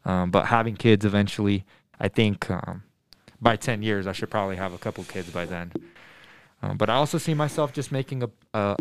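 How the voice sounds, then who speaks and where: A man in his twenties from Denver, a middle school math teacher, answering students' questions in a thoughtful, earnest way, pausing often with ums and restarting sentences. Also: lowish voice, clear, resonant tone. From Denver, USA. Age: 20s. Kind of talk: casual interview answers to students.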